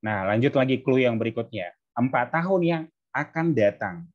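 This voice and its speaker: A man's voice: mid-range voice, expressive, normal speed, dull audio. A man teaching step by step as a tutor, informally, often checking that students follow. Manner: frequent checking questions to students. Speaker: tutor teaching exam maths.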